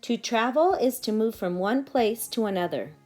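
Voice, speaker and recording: A woman teacher reading a children's story aloud in a deliberate, animated storytelling manner. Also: on the high side, soft background music. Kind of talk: slow expressive children's read-aloud.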